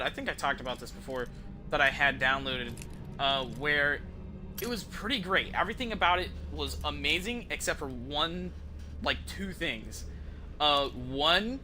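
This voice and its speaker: A man's voice: a little high, bright, forceful tone. A man chatting casually and rambling through gameplay commentary as a streamer, conversational and prone to swearing. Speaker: video game streamer.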